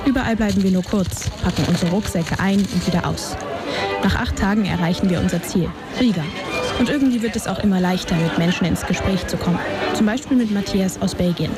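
A young woman reporter reading a scripted radio feature in a calm, measured way. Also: medium pitch, over music.

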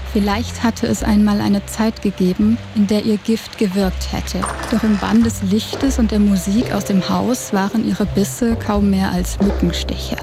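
A woman narrating an audio drama in the first person, with a soft, lyrical and introspective delivery. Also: medium pitch, creaky. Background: music.

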